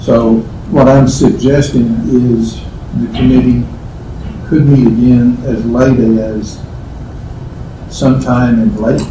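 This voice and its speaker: A man's voice: medium pitch, little pitch movement, slow pace. An American man giving a formal procedural report to a committee as a staff member. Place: indoor meeting room, picked up from afar. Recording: echoey meeting room, distant, noisy.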